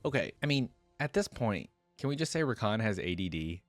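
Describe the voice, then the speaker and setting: A man's voice: on the low side, very animated, brisk, no room sound, soft background music. A man giving casual, rambling commentary as the host of a reaction video.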